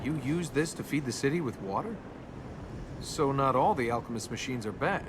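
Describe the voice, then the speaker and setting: Man playing a wisecracking young adventurer, lively delivery. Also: mid-range voice, moderate pace, background noise, lightly acted. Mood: teasing. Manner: sarcastic, flippant banter. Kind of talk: video game voice acting.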